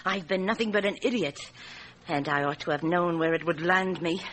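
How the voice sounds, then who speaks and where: An actress playing a frank, bitter jilted fiancée in a radio drama, with a wry, outspoken way of talking. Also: female voice, lowish voice, normal speed, fairly bright tone, close to an everyday voice. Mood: rueful. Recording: noisy radio drama recording.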